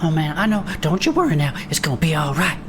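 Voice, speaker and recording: A folksy, reassuring adventurer, played by a woman leads an audio drama investigation. The voice sits low and swings in a lively way, with clear ambient noise behind it. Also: fast talker. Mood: nervous, folksy. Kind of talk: audio drama acting as field interviewer.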